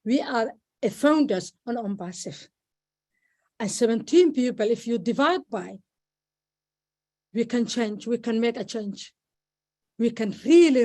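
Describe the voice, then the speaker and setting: A woman from Somalia with a Somali accent speaks at an online meeting over a video call, halting and repeating words, with many fillers. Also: fairly high pitch, darkish tone. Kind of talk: informal speech at an online meeting.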